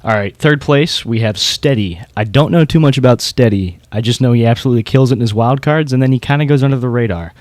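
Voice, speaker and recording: A man's voice: mid-range voice, quick pace, few pauses, a little mellow, no room sound. A man hosting a podcast, talking through competitors and his opinions in a casual, informal way. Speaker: podcast host. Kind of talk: casual discussion of beatbox competitors.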